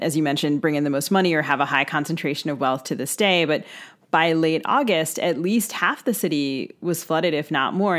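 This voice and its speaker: Woman co-hosting a literary podcast interview. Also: sits low.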